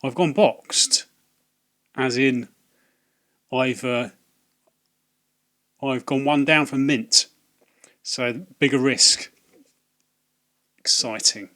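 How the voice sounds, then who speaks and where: Hoarse, hesitant man rambling. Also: mid-range voice, extremely slow, pauses often, bright, forceful tone. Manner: hesitant, lots of ums and restarts, wry asides. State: slightly hoarse. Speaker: video game collecting vlogger. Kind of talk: casual rambling vlog to camera.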